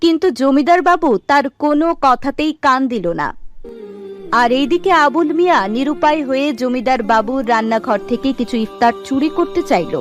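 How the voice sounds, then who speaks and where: A woman's voice: high voice, moderate pace. A woman reading scripted narration for a children's moral cartoon story. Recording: dry voice over faint music.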